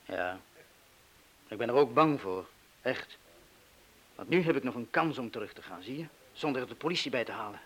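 Hesitant, guilt-ridden middle-aged crook. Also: male voice, mid-range voice, expressive, fairly bright tone, husky, poor audio. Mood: anxious, guilt-ridden. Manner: hesitant, halting, confessional. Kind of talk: radio play acting.

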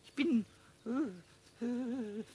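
A man voicing a cheeky, boastful little kobold in a children's radio play, squeaky and stammering over repeated words. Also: very slow, darkish tone, full character voice.

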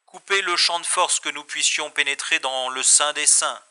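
Man as very bright, assured investigator. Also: on the high side, normal speed, clear, resonant tone. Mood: businesslike. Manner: formal, assured. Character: confident, polite male investigator with gadgets. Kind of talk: science-fiction audio drama acting.

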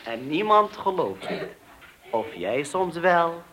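A bright, high-pitched man tells a children's tale in a playful, theatrical manner. He takes his time, and his voice rings in an echoey room. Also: sing-song, takes it slowly. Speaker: storyteller. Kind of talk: expressive children's verse storytelling. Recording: echoey room with faint noise.

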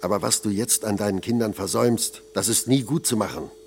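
An actor playing a blunt, patronising middle-aged factory foreman with a gravelly voice in a German radio play. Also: on the low side, a little fast, a little hiss or hum. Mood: regretful, paternal. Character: gruff paternal older factory foreman.

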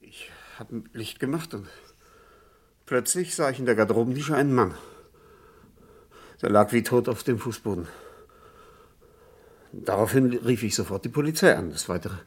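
An actor playing an evasive middle-aged householder under suspicion in a 1960s radio crime drama, husky, hesitant and formally careful. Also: male voice, mid-range voice, expressive, moderate pace, long pauses. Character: evasive middle-aged householder, a suspect pleading self-defence.

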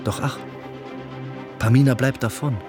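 An actor playing an earnest, brave young prince in a radio drama, declaiming rhymed verse with fervour. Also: male voice, medium pitch, steady tone, unhurried, music in the background, close to an everyday voice. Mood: grateful, longing. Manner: declamatory, fervent.

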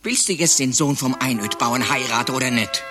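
A man's voice: medium pitch, a little fast, over music, lightly acted. An actor playing a blunt, scornful, sardonic man in a satirical radio play. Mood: mocking, incredulous.

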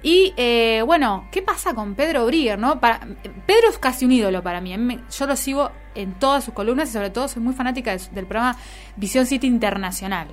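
Radio host, female, Argentine accent, higher-pitched voice, informal and chatty, music underneath. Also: animated, fairly quick. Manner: informal, joking with co-hosts. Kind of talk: casual radio chat about the week's news.

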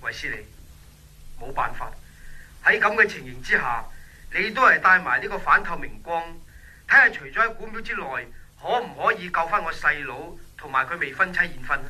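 Worried, sharp-voiced engineer Wong Chun. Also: male voice, a little high, expressive, brisk, husky, exaggerated voice, low-quality recording. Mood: grave, despairing. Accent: Hong Kong Cantonese. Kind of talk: Cantonese radio drama acting.

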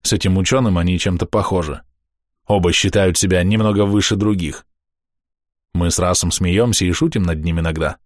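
A man reading a first-person diary aloud in a calm, matter-of-fact, measured way. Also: sits low, no echo, studio quality. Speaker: audiobook narrator.

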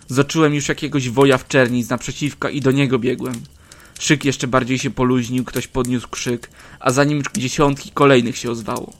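A man's voice: medium pitch, fairly bright tone, natural voice. A man playing a weathered old war veteran. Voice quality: measured, archaic storyteller's delivery. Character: aging male war veteran telling tales to travelers. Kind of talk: first-person storytelling in old-fashioned speech.

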